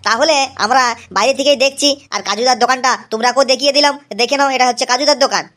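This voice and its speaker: A cheeky young cartoon lad played in a high voice talks quickly to his viewers. He is chatty and excitable, repeating himself as he goes, in a mock-vlog comedy style. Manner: chatty, repetitive, addressing viewers.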